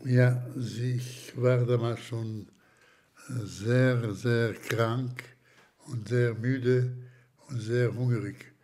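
An older man from Slovakia, giving slow, hesitant testimony in an interview with many pauses and restarts. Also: mid-range voice, steady tone, warm tone. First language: German as childhood language, long unused.

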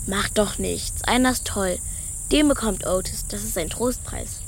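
A woman's voice: mid-range voice, a little slow, vocal fry, slight background noise. A female performer playing a caring, eager young schoolgirl in a German children's radio play. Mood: reassuring.

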